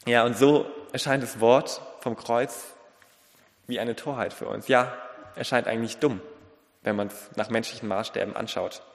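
A man delivering a sermon in an earnest, deliberate way, in short phrases with pauses and a slight lisp. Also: mid-range voice, steady tone, gravelly. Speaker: preacher. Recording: long reverberant hall.

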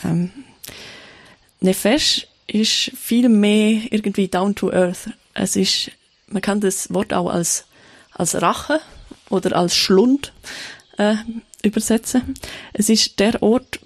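Woman preaching, gravelly, dry sound. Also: mid-range voice, steady tone, takes it slowly, no echo. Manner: thoughtful, with frequent fillers and restarts. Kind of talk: sermon.

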